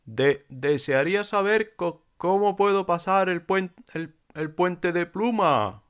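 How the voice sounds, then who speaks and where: A man playing a timid, earnest ogre in a children's storybook, speaking in a halting, drawn-out way. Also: high voice, fairly bright tone, clean tone. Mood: nervous, stammering. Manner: slow, halting, drawn-out, stammering when nervous.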